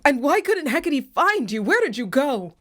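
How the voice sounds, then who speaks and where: A woman's voice: high voice, sing-song, moderate pace, a bit bright. A woman playing an anxious, solicitous mother goddess in a radio play. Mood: upset, insistent. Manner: solicitous, probing, insistent. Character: fussing, anxious mother, a grieving harvest goddess.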